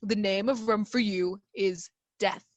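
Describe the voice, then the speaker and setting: A woman playing a stern, blunt male country doctor in a radio play, with a brisk, admonishing manner. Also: medium pitch, fairly even intonation, no room sound, lightly acted. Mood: stern warning.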